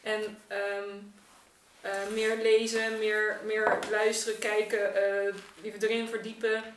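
A woman talks reflectively in a casual podcast conversation. Her voice sits at a medium pitch, a little way off the microphone in a strongly echoing room. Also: monotone, slow pace, distant mic, slight background noise. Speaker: artist, podcast guest. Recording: echoey room, off the mic.

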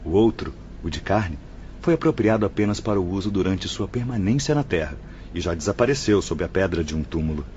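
An actor playing a compassionate, assured male spirit surgeon in a radio drama, solemn and deliberate, with a Brazilian accent. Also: sits low, animated, darkish tone, slight background noise. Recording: dull lo-fi radio drama, music behind.

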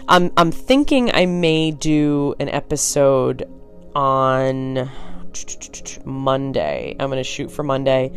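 Connecticut-accented solo podcast host, tired, frank and rambling, medium pitch. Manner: blunt and frank, swears, rambling asides. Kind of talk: casual solo podcast talk.

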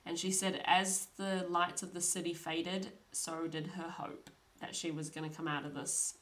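A woman's voice: fairly low pitch, flat delivery, moderate pace, a bit bright, low-quality recording. A young woman hosting a podcast, telling a true crime story in a chatty, casual way with frequent laughs. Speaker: podcast host.

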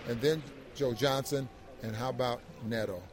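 A man co-hosting a postgame show as an analyst, casually working through his points in a halting way and repeating words. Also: mid-range voice, fairly even intonation, background noise. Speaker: sports analyst, co-host.

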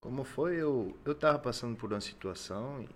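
A man from Salvador, a podcast guest who answers softly, hesitantly and briefly. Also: medium pitch, expressive, fairly quick, slight background noise. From Salvador, Bahia, Brazil. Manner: soft-spoken, hesitant, short answers. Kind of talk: casual interview answers.

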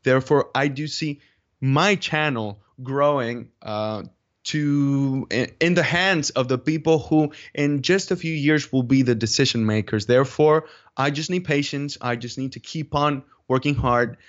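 A man with a Spanish accent answering interview questions in a deliberate, measured way, pausing to search for words. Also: medium pitch. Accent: Spanish-speaking. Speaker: interview guest, video creator. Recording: dull lo-fi call audio.

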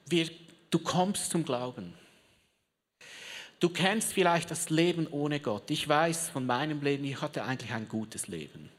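A preacher giving a lively sermon, telling a story theatrically and joking with a live audience. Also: medium pitch, unhurried. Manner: theatrical storyteller, rallies the crowd; chatty asides, joking with the audience. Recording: long reverb of a big hall.

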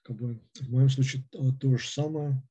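Guy rambling through a philosophy lecture, lots of ums and restarts, really dark husky voice, muffled lo-fi audio. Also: mid-range voice, fairly even intonation. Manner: halting, with constant hesitations and fillers. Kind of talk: unscripted lecture.

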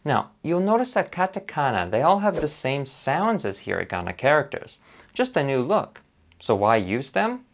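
A man from Canada talks through a beginner lesson in an upbeat, earnest way. His pitch swings widely, rising high on key words and dropping low on asides. It sounds scripted but friendly. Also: big pitch swings, normal speed, gravelly. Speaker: language learner presenting a lesson.

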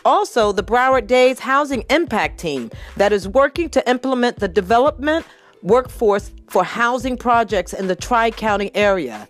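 A woman host reading a scripted introduction to her show in a measured, formal way. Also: fairly high pitch. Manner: measured, formal read delivery.

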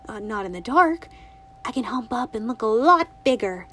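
A woman voicing an earnest, anxious little girl who pleads in a high, breathless voice in an audiobook. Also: lively intonation, normal speed, a bit bright. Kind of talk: audiobook reading.

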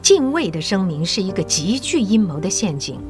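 An actress playing a mature, patriotic school principal in an audio drama, encouraging and persuasive with measured authority. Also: female voice, mid-range voice, very animated, over music, natural voice. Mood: earnest indignation.

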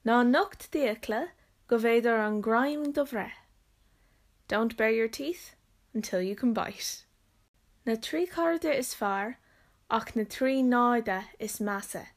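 A woman storyteller with an Irish accent, reading out proverbs in a deliberate, measured recitation with pauses. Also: a little high. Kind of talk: proverbs read aloud in Irish and English.

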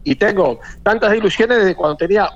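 A man in his forties from Madrid with a Castilian Spanish accent, a hotel owner giving a chatty, halting radio interview full of repeated words. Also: high voice, animated. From Madrid, Spain. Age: 40s. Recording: dull lo-fi radio audio.

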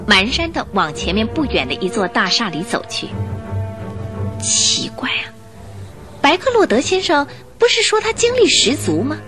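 A woman reading scripted narration for a Mandarin radio drama with expressive storyteller phrasing. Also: on the high side, moderate pace, music in the background.

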